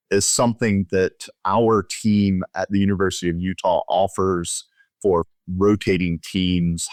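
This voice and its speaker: Low-voiced man explaining clinical technique. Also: no room sound, clean studio sound. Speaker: physician guest expert. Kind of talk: explanatory medical interview.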